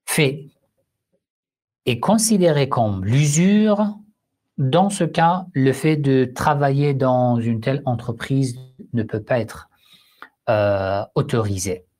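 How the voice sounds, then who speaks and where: A man hosting a live online Q&A on religion, explaining slowly and deliberately, with frequent hesitant fillers. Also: mid-range voice, expressive, a little mellow. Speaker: Islamic Q&A host. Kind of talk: live online Q&A answering listeners.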